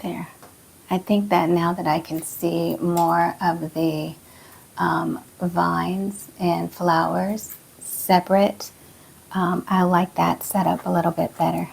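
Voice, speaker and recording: Husky, hoarse woman, slow and low. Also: rough recording. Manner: relaxed, soft-spoken, many fillers. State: hoarse, losing her voice. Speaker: plant channel host. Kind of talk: casual vlog chat while repotting. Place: indoor plant room.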